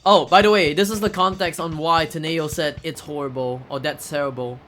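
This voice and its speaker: A man's voice: on the high side, steady tone, fairly quick, fairly bright tone. A man giving casual, slightly hesitant live commentary as he plays through a video game speedrun. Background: video game music.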